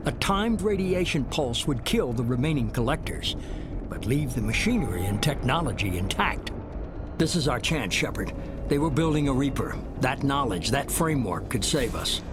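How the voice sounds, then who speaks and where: A man playing a calculating, ruthless middle-aged mastermind in a video game, persuading with measured authority. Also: medium pitch, very animated, over music, natural voice. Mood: measured persuasion. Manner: measured, smooth-talking, authoritative.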